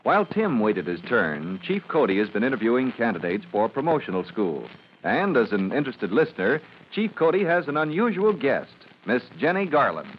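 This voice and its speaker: A man's voice: medium pitch, very animated, normal speed. A man announcing and narrating an old-time radio drama in a dramatic, declamatory style. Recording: muffled, noisy old radio recording.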